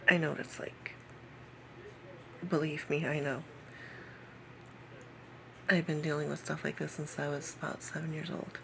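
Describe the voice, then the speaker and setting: A woman in her late thirties talking personally to camera in a halting way, repeating phrases and sometimes dropping to a whisper. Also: low-pitched, level tone, gravelly, bright, forceful tone, slight background noise, low-quality recording. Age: late 30s. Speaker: vlogger.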